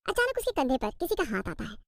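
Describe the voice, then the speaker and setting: A woman's voice: high-pitched, wildly swooping pitch, fast talker, no echo, studio quality. A woman reading scripted narration for a cartoon story.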